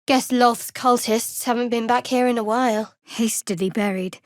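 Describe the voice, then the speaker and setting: An actress voicing a guarded, wry and devout young female cleric in a fantasy video game, with a cool, measured, dryly sardonic delivery. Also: on the high side, fairly even intonation, no echo, studio quality, close to an everyday voice. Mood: guarded, solemn.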